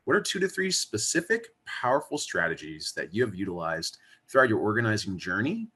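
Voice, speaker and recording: A man's voice: lowish voice, sing-song, fairly quick, bright, forceful tone, husky. A man moderating a panel, responding briefly with affirmations and putting questions to the panelists.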